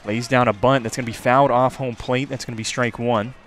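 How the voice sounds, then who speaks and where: A man's voice: mid-range voice, fairly even intonation, a little fast, a bit bright, a little hiss or hum. A man calling a college baseball game live as a play-by-play radio announcer.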